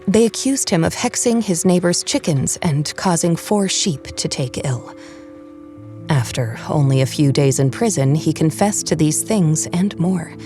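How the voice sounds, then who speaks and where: Very low-voiced woman narrating a dark story. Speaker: audiobook narrator. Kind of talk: first-person dramatic story narration.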